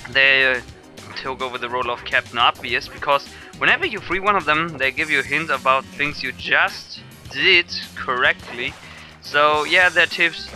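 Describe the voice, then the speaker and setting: A German-accented man gives loose let's-play commentary in a very bright, cutting voice. He rambles and hesitates, filling gaps with ums and uhs. Faint game music plays in the background. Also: medium pitch. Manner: rambling, hesitant with many fillers. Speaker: let's player. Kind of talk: casual gameplay commentary.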